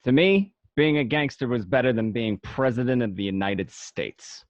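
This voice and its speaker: A man's voice: medium pitch, moderate pace, no room sound, natural voice. A man playing a gangster who looks back on his youth, narrating in a reflective, matter-of-fact way for an amateur radio drama. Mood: nostalgic, proud.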